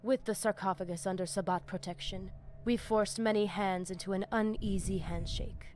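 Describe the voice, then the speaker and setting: A woman's voice: medium pitch, steady tone, normal speed, darkish tone, a little hiss or hum, close to an everyday voice. Woman doing grave vampire pack boss lady, formal and fierce, video game, some background ambience. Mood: reassuring. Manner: grave, formal, stately phrasing. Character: solemn Spanish-speaking female vampire pack leader, fierce and loyal. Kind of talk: dramatic video game acting.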